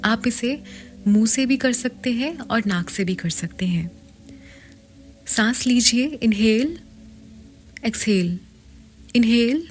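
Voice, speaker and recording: Slow, soft Indian woman guiding a meditation. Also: fairly high pitch. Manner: soft, soothing, instructional, often dropping into a breathy whisper. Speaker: guided meditation host. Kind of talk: guided meditation narration. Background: background music.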